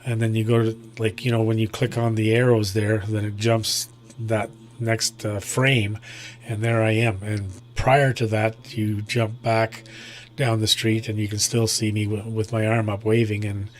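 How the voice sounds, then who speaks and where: A man's voice: lowish voice, level tone, unhurried. A man in a casual ham radio chat, telling things in a hesitant, meandering way. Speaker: amateur radio operator.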